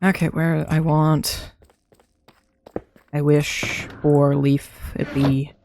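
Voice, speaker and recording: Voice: medium pitch, flat delivery, very slow, creaky, darkish tone, a little hiss or hum. A young adult streamer chatting casually to themself while playing a game, laughing often, throat a little dry. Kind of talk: casual live gameplay commentary.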